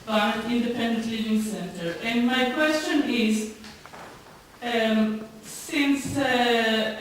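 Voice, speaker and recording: A woman with a high voice speaks from far off in a very echoey hall. She questions a committee slowly and haltingly, with a pointed, challenging manner. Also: slight background noise. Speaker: member of the public questioning a council committee. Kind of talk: public question at a formal meeting. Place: large town hall meeting room.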